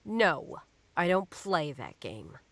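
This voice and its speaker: An actress playing a tough, defiant ex-police detective in a noir video game, blunt and challenging. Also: female voice, fairly low pitch, very animated, slow pace, no room sound, heavily acted. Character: tough, defiant female ex-police detective on her own case.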